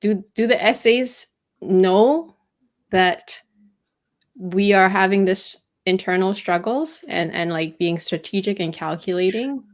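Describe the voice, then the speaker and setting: A woman's voice: medium pitch, slow. A woman from Quebec with a Quebec accent, chatting as a guest on a video call, giggly and hesitant with many restarts. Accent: Quebec French. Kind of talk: casual chat.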